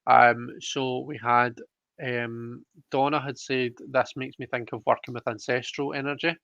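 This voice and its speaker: A man chats casually as a livestream host, his voice edged with fry. He is informal and a little hesitant. The sound is close and dry but slightly muffled. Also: medium pitch, level tone, measured pace, fairly bright tone, no echo. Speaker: host of a live tarot reading show. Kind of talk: casual livestream chat, reading out comments.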